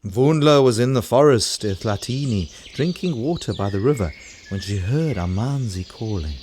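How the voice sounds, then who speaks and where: A man's voice: medium pitch, animated, normal speed, darkish tone, husky. A man with a South African accent narrating an African folktale for children as a storyteller. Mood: storytelling.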